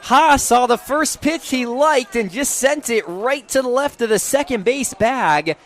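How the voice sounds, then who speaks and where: A man's voice: very high voice, measured pace, fairly bright tone. A young man calling a game live as a sports play-by-play announcer. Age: young adult.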